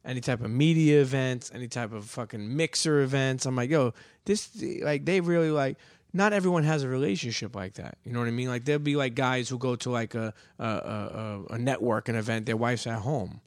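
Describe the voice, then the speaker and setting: A man's voice: medium pitch, a little fast, hardly any pauses, no room sound. A male podcast host with a mid-range voice, asking questions in a loose, chatty way. He is blunt and swears without thinking about it. The sound is close and dry. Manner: blunt, curse-laced, chatty. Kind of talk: casual interview questions.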